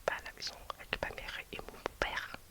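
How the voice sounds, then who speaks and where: A woman whispering a personal story to her viewers as a video creator, hushed and hesitant, with many restarts and fillers. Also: moderate pace.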